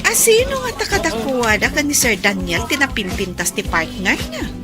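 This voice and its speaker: A woman's voice: a little high, very animated, normal speed, over music. A woman playing a nosy, gossiping young woman at an event in a radio drama. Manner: gossipy and curious.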